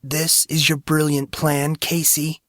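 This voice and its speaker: A man's voice: on the high side, little pitch movement, slow pace, a bit bright, dry recording, pitched above the performer's own voice. A man voicing a cocky young male hero of a fantasy story, with dry, teasing, smug sarcasm. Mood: exasperated.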